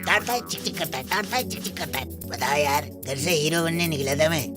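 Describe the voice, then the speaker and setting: Casting: actor for a naive, complaining animated sidekick, excitable and eager, over loud music. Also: medium pitch, quick pace, natural voice. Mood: grumbling. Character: henchman dreaming of film stardom, grumbling, naive, eager. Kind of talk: animated cartoon voice acting in Hindi.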